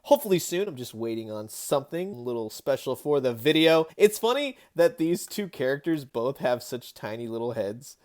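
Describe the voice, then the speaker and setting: A man hosting a casual, enthusiastic toy review, telling it in an animated way with emphatic repeats. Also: mid-range voice, normal speed, hardly any pauses. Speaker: host of a toy collecting review show.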